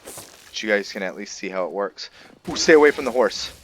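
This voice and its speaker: A man's voice: on the low side, expressive, brisk, a bit bright, slight background noise. A man giving casual, rambling gameplay commentary, chatting informally to his viewers as the host. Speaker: gaming video host.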